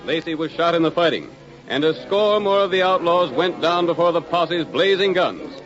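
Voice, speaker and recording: A man's voice: fairly high pitch, animated, moderate pace, a bit bright. A man narrating a western in a dramatic, urgent 1940s radio style. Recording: muffled old radio broadcast. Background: music.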